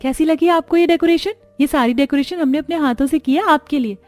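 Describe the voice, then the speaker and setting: A woman voicing an excited, adoring young sister-in-law in a cartoon family story, gushing and exclaiming eagerly. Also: high voice, brisk, faint music behind, pitched above the performer's own voice, natural voice. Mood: doting.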